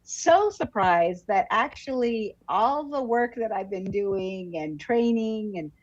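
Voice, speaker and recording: A woman's voice: mid-range voice, lively intonation, slow. A woman guest on a livestream, telling personal stories in an effusive, conversational way. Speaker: professional actor, guest. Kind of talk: casual livestream chat, personal anecdotes. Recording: dull lo-fi online call audio.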